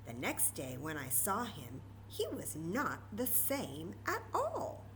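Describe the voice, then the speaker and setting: A woman's voice: on the low side, wildly swooping pitch, slow pace, a bit bright, slight background noise, low-quality recording. An American woman hosting a children's storytime, speaking expressively and directly to young listeners, mixing read-aloud with chatty asides. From Texas, United States. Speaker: storytime reader and host.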